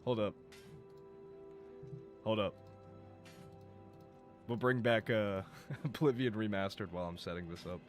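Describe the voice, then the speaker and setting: Live gameplay streamer, male, lowish pitch, rambling and profane, voice fraying; faint music under him. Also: a little slow, long pauses. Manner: rambling, chatty, swears and laughs. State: losing his voice.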